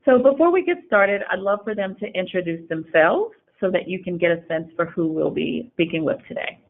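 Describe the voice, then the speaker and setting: A woman's voice: lowish voice, lively intonation, a little fast. A woman hosting a semi-scripted webinar, introducing and questioning guests as a programme director. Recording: thin phone-like line.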